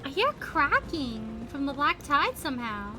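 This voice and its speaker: A woman giving casual livestream commentary on a video game in an animated manner. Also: sits high, a little slow. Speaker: livestream host. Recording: game music under the voice. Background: video game music.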